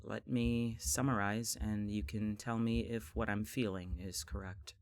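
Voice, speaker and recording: A bitter stubborn friend in an audio drama, blunt and sarcastic, slightly low voice, very dry sound. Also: male voice, fairly even intonation, unhurried, dry recording. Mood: resigned, guarded. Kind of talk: naturalistic audio drama dialogue.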